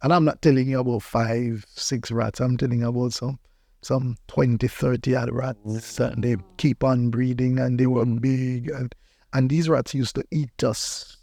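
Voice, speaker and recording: A man's voice: mid-range voice, steady tone, unhurried, vocal fry, a little mellow, no room sound. A man from Belize with a Belizean accent, a pastor telling personal stories as a podcast guest, emphatically repeating phrases for effect. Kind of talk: personal storytelling in conversation.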